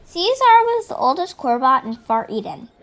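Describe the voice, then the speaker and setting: A woman's voice: high-pitched, very animated, normal speed, a bit bright, heavily acted. A woman voicing an earnest female rebel robot, solemnly reading dramatic logs of an uprising. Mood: earnest, reflective. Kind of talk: scripted dramatic log narration. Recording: music behind the voice.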